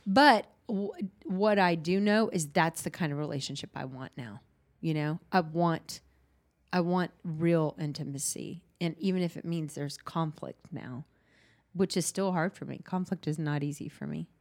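A woman hosting a podcast in casual conversation, her voice sitting on the low side. She talks candidly and haltingly, restarting sentences and reaching for fillers as she works out her thoughts. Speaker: podcast host and counselor.

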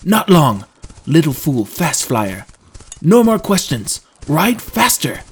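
A man's voice: high-pitched, lively intonation, moderate pace, dark tone, breathy edge, pitched above the performer's own voice, full character voice. A man voicing a stern, impatient warrior rider in an audiobook, blunt and commanding in clipped, broken speech. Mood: impatient, urgent. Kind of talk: audiobook character voice.

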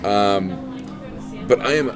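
Deep-voiced male podcast co-host, rambling and crude, recorded in a restaurant with music behind. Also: extremely slow. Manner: rambling, crude jokes, many fillers. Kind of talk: casual chat.

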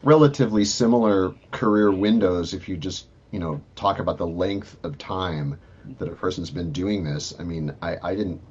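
Low husky man on a dull line. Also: moderate pace, darkish tone. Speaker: composer guest. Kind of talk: casual interview talk.

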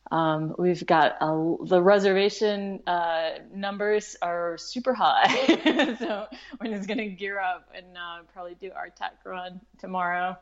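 Female panellist, slightly low voice, giggly and keen, casual chat, mildly muffled audio. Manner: enthusiastic, giggly. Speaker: podcast panellist, film screening organiser.

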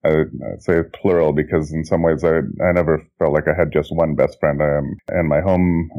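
Very deep-voiced reflective man, lo-fi. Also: little pitch movement, a little fast. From Indonesia. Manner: reflective, restarts sentences, chuckles often. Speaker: guest, children's book author and graphic designer. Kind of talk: relaxed interview conversation. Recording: dull lo-fi audio.

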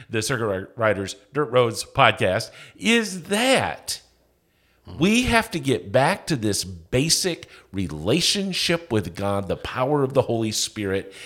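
A man's voice: medium pitch, fairly bright tone. Emphatic, preacherly man. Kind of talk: podcast opening with scripture reading.

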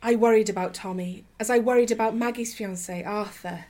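A woman's voice: a little high, fairly even intonation, a little fast, mellow, close to an everyday voice. A woman playing an anxious wartime woman at home, narrating in a radio play. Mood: worried.

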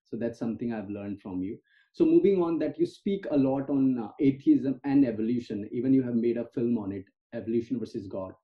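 A man hosting a podcast, asking his guest earnest questions with some halting restarts. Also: mid-range voice. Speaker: podcast host. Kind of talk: interview questions. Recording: tinny phone-like line.

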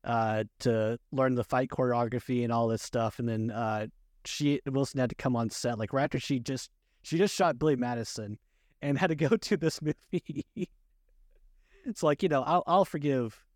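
Male co-host, mid-range voice, dry recording, informal halting pop-culture chat. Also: medium pitch, dry recording. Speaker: podcast co-host. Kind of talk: casual movie and game discussion.

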